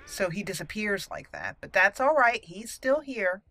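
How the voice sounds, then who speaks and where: A woman's voice: mid-range voice, big pitch swings, moderate pace, a bit bright, slight background noise. A woman hosting a gaming channel, giving casual, playful let's play commentary and talking to the characters. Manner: playful, talks to game characters.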